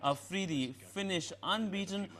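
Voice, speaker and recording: A man's voice: high-pitched, moderate pace, a bit bright. A man with an Indian accent reading scripted news as an anchor in a formal manner, occasionally stumbling.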